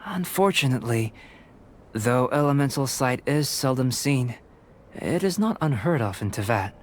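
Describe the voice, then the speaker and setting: A man voicing a calm young male alchemist researcher in a game fan dub, speaking in a measured, polite, scholarly way. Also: medium pitch, background noise, natural voice.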